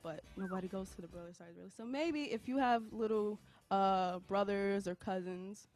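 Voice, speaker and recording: A woman's voice: mid-range voice, normal speed, a little mellow, no echo, faint music behind. A young woman chatting hesitantly on college radio, laughing and using many fillers. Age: young adult. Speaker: student radio host. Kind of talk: casual group chat.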